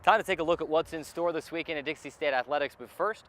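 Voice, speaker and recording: A man's voice: a little high, fast, a bit bright. A man hosting a sports show in a conversational broadcast style, outdoors at a stadium.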